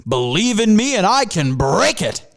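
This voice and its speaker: A man's voice: high-pitched, sing-song, unhurried, vocal fry, exaggerated voice. A man doing an upbeat panther mascot. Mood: rallying. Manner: rallying, enthusiastic.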